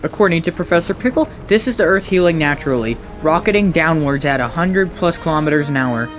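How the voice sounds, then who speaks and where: Male video commentator, fairly high voice, very lively intonation, sarcastic nitpicker, light music behind. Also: fast talker. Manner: sarcastic, pedantic.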